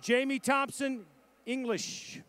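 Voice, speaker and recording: Very high-voiced man reading graduation names. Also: a bit bright. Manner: measured, formal roll-call delivery. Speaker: commencement name reader.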